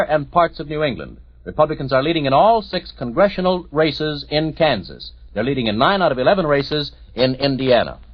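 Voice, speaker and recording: A man reporting election news on 1940s radio, in a measured, matter-of-fact delivery. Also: a little high, breathy edge, dry recording. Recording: dry, muffled 1940s radio recording.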